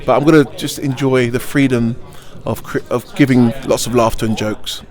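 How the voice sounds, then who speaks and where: A man's voice: medium pitch, steady tone, normal speed, darkish tone. A middle-aged man, a pantomime actor, giving playful answers in an interview, joking and laughing often in a theatrical way.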